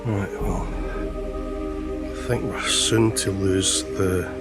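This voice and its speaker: Hoarse man, outdoor vlog. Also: low voice, steady tone. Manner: chatty, unhurried, many pauses and fillers. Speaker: landscape photographer and vlogger. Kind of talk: casual vlog narration. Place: outdoors on a lakeshore, walking. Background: background music.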